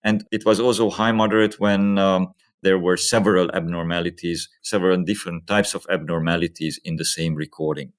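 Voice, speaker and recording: A man with a low-pitched voice speaks as a medical researcher in an interview. He explains technical work step by step, in a methodical, orderly way. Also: fairly even intonation, a little fast.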